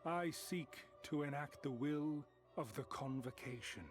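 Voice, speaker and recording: An actor playing an ancient, cold and condescending emissary in a fantasy game, speaking in measured, formal, archaic phrases. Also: male voice, a little high, natural voice. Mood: dismissive. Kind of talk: dramatic fantasy video game acting. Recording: music behind the voice.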